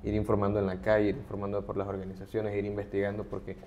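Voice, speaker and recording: Earnest middle-aged male advocate, somewhat low voice, steady explanatory interview delivery. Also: fast talker, slight background noise. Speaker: human rights advocate, interview guest. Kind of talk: conversational interview.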